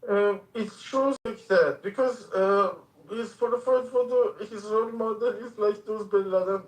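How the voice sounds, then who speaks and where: A man with a notably high voice, speaking haltingly with frequent "uh" pauses and an earnest tone. His voice comes through echoey voice chat audio, with the room ringing around him. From Iran. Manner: halting, hesitant, full of "uh" fillers, earnest. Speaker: voice chat participant. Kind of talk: heated online group chat.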